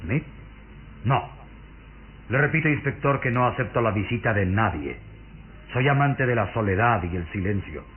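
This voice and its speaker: Through a thin, band-limited radio recording comes an actor as a sinister male count. His low voice swings expressively, suave and hypnotic, commanding and coldly courteous in classic radio-play manner. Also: animated, close to an everyday voice. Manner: suave, menacing, theatrical, measured. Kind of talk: radio drama acting. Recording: tinny lo-fi vintage radio recording with music.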